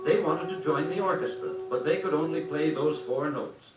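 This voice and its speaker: Male narrator, children's storytelling, dramatic, higher-pitched voice, distant vintage record sound, loud orchestral music behind. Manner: dramatic, deliberate storyteller delivery. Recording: old 78 rpm record, distant and echoey.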